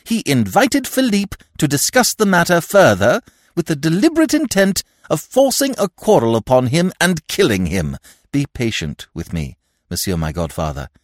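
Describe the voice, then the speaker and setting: A man voicing a calm, earnest young man. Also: on the high side, normal speed, dry recording, clean studio sound, voice pushed up.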